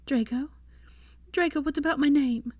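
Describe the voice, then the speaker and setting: A woman's voice: high-pitched, monotone, slow, warm tone, no room sound, pitched above the performer's own voice. A woman voicing a distraught, desperate young woman in an audiobook story, with emotional, pleading delivery. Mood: sad, pleading. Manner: emotional, pleading, breathy to crying out. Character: distraught young woman trapped beside dying companion.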